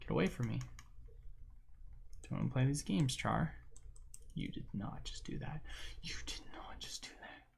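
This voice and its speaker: Young guy streaming, rambly and slangy, chill mid-pitch voice. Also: lively intonation, a little slow, vocal fry, pauses often, husky, whispered. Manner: rambling, filler-heavy, slangy. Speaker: livestream host. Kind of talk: casual chat while gaming.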